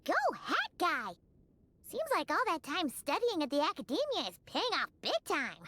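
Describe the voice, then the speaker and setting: A tiny bubbly fairy sidekick from a fantasy game. The voice is extremely high and piercingly bright, swooping wildly as it exclaims. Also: female voice, very animated, moderate pace, dry recording, full character voice. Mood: cheerful, excited. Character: tiny excitable female fairy companion. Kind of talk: video game voice acting.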